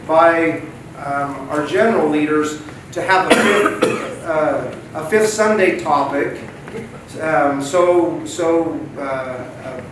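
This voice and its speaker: A man introducing a church lesson, earnest and halting, often repeating his words. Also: a little high. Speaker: bishopric member leading a church lesson. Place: church meeting room. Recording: echoey hall, distant mic, noisy.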